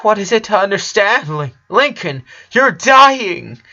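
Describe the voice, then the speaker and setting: A man's voice: high voice, very animated, measured pace, pushed and bright, pitched above the performer's own voice, full character voice. A man voicing an anguished young girl, grieving and irritated, with a stammering, emotional delivery. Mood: angry, anguished. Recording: dull lo-fi recording.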